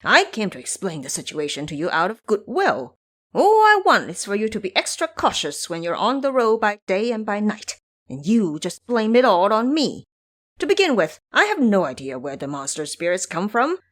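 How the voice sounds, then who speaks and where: Male woodcutter played by a woman, emphatic and exasperated, storybook adventure, mid pitch, big swings in tone. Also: very animated, normal speed. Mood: indignant. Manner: scolding, emphatic. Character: blunt, exasperated male woodcutter warning travelers. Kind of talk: audio drama storytelling.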